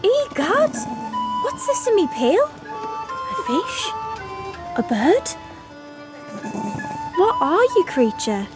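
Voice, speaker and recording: A woman's voice: very high-pitched, huge pitch swings, very slow, pauses often, heavily acted. A woman playing a headstrong teenage village girl in an audio drama. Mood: startled. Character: headstrong teenage village girl resisting marriage and grown-up life. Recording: loud music behind the voice.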